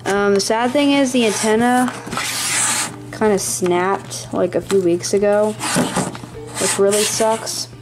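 Halting young-sounding hobbyist showing off a collection. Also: medium pitch, steady tone, music in the background, low-quality recording. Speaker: hobbyist presenting a radio collection. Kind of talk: casual show-and-tell narration. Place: small indoor room at home. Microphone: handheld camera close by.

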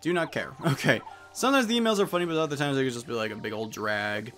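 A man's voice: medium pitch, lively intonation, fast talker, a bit bright. Wry, chatty young man playing a game. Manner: chatty, wry asides, reads game text aloud with put-on voices. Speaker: let's play host. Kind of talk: casual gameplay commentary. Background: faint game music.

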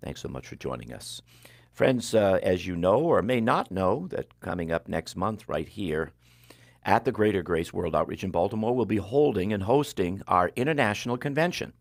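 Deep-voiced older radio host. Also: male voice, lively intonation, no room sound. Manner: warm, measured announcer delivery with slow-read phone numbers. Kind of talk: radio broadcast announcements. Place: small broadcast studio. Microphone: headset mic.

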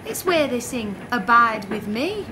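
A woman's voice: sing-song, unhurried. A woman playing a naive, curious young woman, hesitant and questioning, in a radio play. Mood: innocently curious. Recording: train rumble behind the voice.

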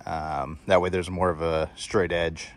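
A man's voice: low-pitched, a little slow, no echo. A man in his thirties casually narrating outdoor farm work to a phone camera, with plenty of fillers. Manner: relaxed, filler-heavy explaining. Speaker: vlogger. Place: outdoors on a rural property. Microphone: phone camera held at arm's length. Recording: outdoor noise, machinery nearby.

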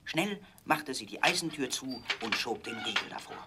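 A man narrating a scripted fairy tale for children in a measured, dramatic storytelling manner. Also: mid-range voice, lively intonation, very noisy.

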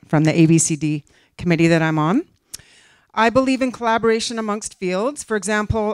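A woman's voice: lowish voice, clear, resonant tone, no echo. A middle-aged woman with a Canadian accent reading a prepared campaign speech into a handheld mic at a podium, earnest with the odd filler. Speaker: candidate for a board seat. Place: conference room, in front of a backdrop.

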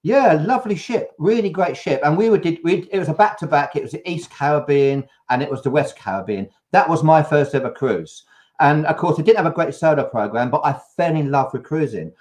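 An older man hosting a live video-call chat, telling a travel story with lively, anecdotal energy. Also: on the high side, a little fast. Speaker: host. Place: home room, video call.

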